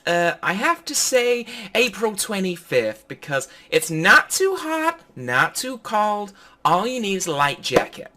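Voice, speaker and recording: Guy doing a ditzy pageant woman, way up high, slow and earnest, comedy movie style. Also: big pitch swings, pushed and bright, exaggerated voice. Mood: earnest, dreamy. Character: earnest, dim beauty pageant contestant woman.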